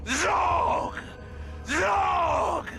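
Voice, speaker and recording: An actor playing a fanatical undead orc necromancer in a video game, with a gravelly theatrical snarl and pompous, drawn-out proclamations. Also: male voice, extremely high pitch, heavily acted. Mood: commanding, fanatical. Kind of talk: video game villain acting. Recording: loud music underneath.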